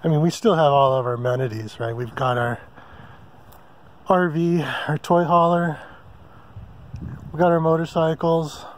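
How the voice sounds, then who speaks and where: A man hosting a casual camping vlog from a mountain meadow, talking in a relaxed, rambling way with many ums and uhs. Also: on the high side, normal speed, pauses often. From Hawaii. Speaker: vlog host. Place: open mountain meadow campsite outdoors.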